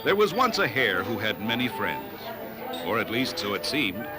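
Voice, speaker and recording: A man's voice: on the low side, big pitch swings, moderate pace, over music. A man narrating a scripted fable in storybook style.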